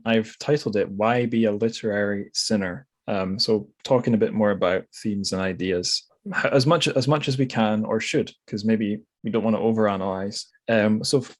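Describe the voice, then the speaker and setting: Low-voiced man, hesitant podcast host. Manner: hesitant, rambling, thinking aloud. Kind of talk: conversational literary interview.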